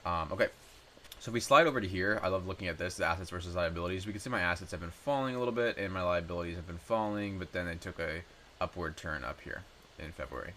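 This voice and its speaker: A young man in his twenties with a low voice, made raspy by a cold, that still sounds bright and forward. He talks through his numbers in a loose, chatty way, full of ums and small corrections. A faint hiss sits under the recording. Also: fast. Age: 20s. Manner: chatty, relaxed, with frequent ums and self-corrections. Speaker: personal finance YouTuber. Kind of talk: casual screen-share walkthrough.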